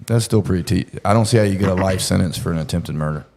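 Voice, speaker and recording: A man's voice: low-pitched, fast, a little mellow. A man chatting casually about true crime in a blunt, profane way.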